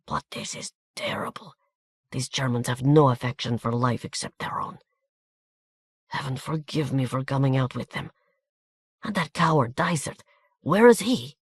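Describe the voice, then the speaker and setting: A man's voice: fairly high pitch, lively intonation, moderate pace, gravelly, husky, no room sound, studio quality, exaggerated voice. Man doing a gaunt, whispering Hungarian-accented little man. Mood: anxious whisper. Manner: hushed urgent whispering.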